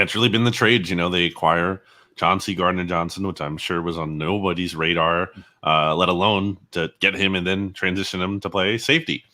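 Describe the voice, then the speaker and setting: Man, very low pitch, bright forceful tone, guest sports analyst, interview analysis.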